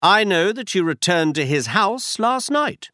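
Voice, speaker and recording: A man's voice: sits high, very animated, unhurried, bright, forceful tone, dry recording, clean studio sound, voice pushed up, heavily acted. A man voicing a cool, insistent male detective in a storybook reading, firm and pressing.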